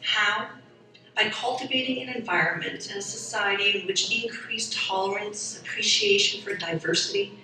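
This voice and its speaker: A woman's voice: medium pitch, sharp tone. A woman who founded a youth soccer program, speaking earnestly in a documentary interview. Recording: echoey, from far off, with music.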